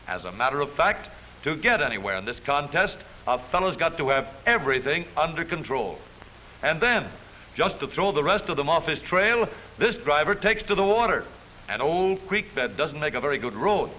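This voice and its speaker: An American man narrating a 1930s newsreel from a script, with a jaunty, theatrical, wisecracking delivery. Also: fairly high pitch. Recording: muffled, hissy 1930s newsreel soundtrack.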